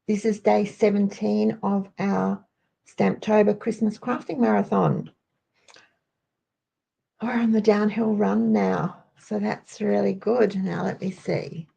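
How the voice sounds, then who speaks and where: A croaky-voiced woman chats her way through a crafting tutorial, greeting viewers as she goes. Her voice is gravelly and mellow, and she speaks at an unhurried pace. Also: medium pitch, flat delivery. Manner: chatty, rambling, greets viewers by name. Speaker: crafting host. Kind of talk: casual live crafting tutorial.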